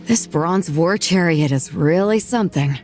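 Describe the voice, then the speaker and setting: A woman's voice: fairly low pitch, unhurried, darkish tone, faint music behind, natural voice. A woman voicing a bold, generous female ship captain who talks casually and laughs easily, in a game cutscene.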